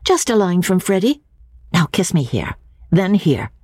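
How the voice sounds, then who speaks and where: A woman voicing a fond, scolding young wife in a dramatic audiobook reading, brisk and exclamatory. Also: lowish voice, very animated, a little mellow, husky, no echo, voice pushed up, full character voice. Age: young adult.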